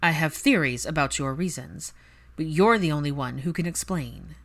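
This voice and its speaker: A woman voicing a stern adult son in a story, speaking with careful, clipped crispness. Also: deep voice, normal speed. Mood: controlled.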